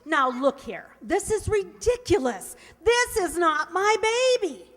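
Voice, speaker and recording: Woman playing an exasperated young shop girl, high-pitched. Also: sing-song, measured pace, exaggerated voice. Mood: flustered, indignant. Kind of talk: old-time radio comedy acting. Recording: reverberant hall stage recording.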